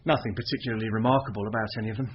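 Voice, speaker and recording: A man's voice: fairly low pitch, fairly even intonation, very fast, a little hiss or hum, lightly acted. An actor playing a tough young British undercover policeman in a radio drama. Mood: matter-of-fact. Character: touchy, tough young undercover special branch man. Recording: muffled, with music behind.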